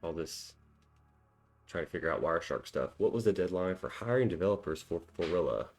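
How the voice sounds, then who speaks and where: A man's voice: deep voice, lively intonation, fairly quick, darkish tone, faint music behind. A man hosting a cybersecurity walkthrough, thinking aloud in a casual, self-deprecating way with frequent ums and small laughs. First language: English. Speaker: cybersecurity tutorial host.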